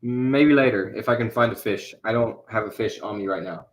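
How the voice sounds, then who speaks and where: A man's voice: lowish voice, a little fast. A young man chatting casually on a livestream while he animates. He rambles, with lots of fillers and restarts.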